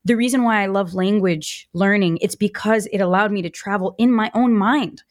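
A woman's voice: medium pitch, normal speed, dry recording. A woman in her late twenties, from Brazil and raised in the US, talks as a podcast guest. She tells her stories with energy and a lot of casual fillers. The recording is close and dry, with no room sound. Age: 20s. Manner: animated storyteller, lots of "like". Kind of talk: conversational podcast interview.

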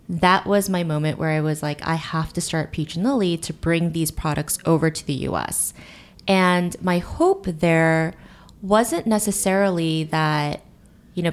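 Earnest, fluent woman in her thirties. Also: on the low side. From United States and Korea. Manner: fluent, earnest, frequent "you know" and "really". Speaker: guest, beauty company founder. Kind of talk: podcast interview answers.

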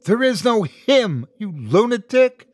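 Man voicing a frightened, furious young woman, high-pitched. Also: sing-song, unhurried, breathy edge, no room sound, heavily acted. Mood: furious defiance.

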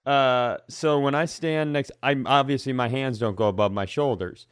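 A man's voice: mid-range voice, normal speed, clean tone, no echo, studio quality. A man chatting casually as a comedy podcast host, sarcastic and given to acting out the people he describes. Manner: sarcastic, acts out quoted characters.

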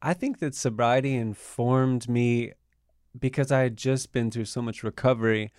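A man as a podcast guest, explaining in an earnest, measured way. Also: medium pitch, darkish tone, no echo, clean studio sound. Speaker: guest, relationship coach. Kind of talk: casual interview chat.

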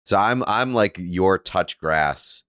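A man's voice: deep voice, animated, takes it slowly, a bit bright, dry recording. A man as a podcast guest, laid-back with a dry sense of humor. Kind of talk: casual chat.

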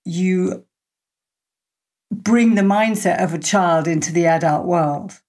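Low-voiced woman musing thoughtfully. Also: slow pace, a little mellow. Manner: reflective, speaks in long linked thoughts. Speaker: podcast host. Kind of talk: thoughtful conversational interview.

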